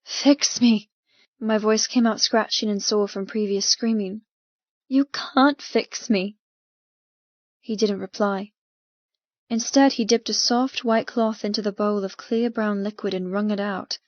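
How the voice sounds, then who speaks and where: A woman's voice: mid-range voice, level tone, normal speed, no room sound, highs cut off. Dramatic woman audiobook narrator, dry sound. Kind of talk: scripted first-person fiction narration.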